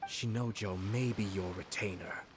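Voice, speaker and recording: Man acting composed noble commissioner, lowish voice. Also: fairly even intonation, background noise, close to an everyday voice. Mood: cool, probing. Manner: formal, measured, restrained. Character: composed noble young male government commissioner, coolly authoritative. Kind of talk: scripted video game voice acting.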